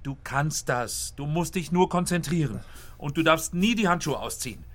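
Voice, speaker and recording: An actor playing a driven, exhausted film director in a German radio play, terse and commanding. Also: male voice, a little high, animated, moderate pace, a bit bright. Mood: urgent, insistent.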